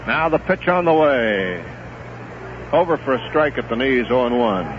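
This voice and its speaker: A middle-aged man giving live play-by-play commentary on a baseball game for radio, steady and relaxed, rising in excitement on big plays. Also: medium pitch, normal speed. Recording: muffled vintage 1970s radio broadcast with hiss.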